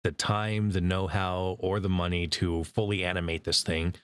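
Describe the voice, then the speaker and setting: A man hosting a casual interview with a voice actor, relaxed and quick to laugh. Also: sits low, smooth, no room sound. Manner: relaxed, with easy laughs and "yeah" backchannels. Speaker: interview host.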